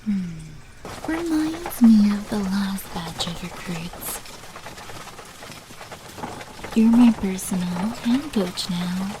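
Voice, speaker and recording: A woman playing a sly, seductive vampire mafia lieutenant in an ASMR audio drama, breathy and teasing. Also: medium pitch, animated, slow, warm tone, a little hiss or hum, rough recording. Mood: amused, bossy. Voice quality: breathy, often whispering.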